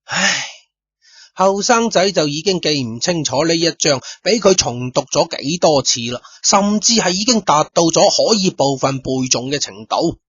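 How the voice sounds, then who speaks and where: A man's voice: a little high, expressive, normal speed, fairly bright tone, slightly rough, no echo, dull audio. A man with a Cantonese accent narrating a story in colloquial radio-drama style, speaking directly to his listeners. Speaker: storyteller, host.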